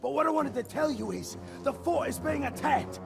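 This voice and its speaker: High-pitched, chatty cowardly orc. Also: male voice, normal speed, creaky, over music, exaggerated voice. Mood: nervous. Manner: rambling, jittery, comically eager. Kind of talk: video game character acting.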